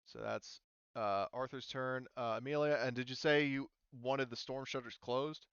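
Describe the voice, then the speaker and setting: A man's voice: mid-range voice, fairly even intonation, normal speed, a bit bright, clean tone, no echo, muffled recording. A man narrating a tabletop game as game master, explaining rules casually and thinking aloud hesitantly.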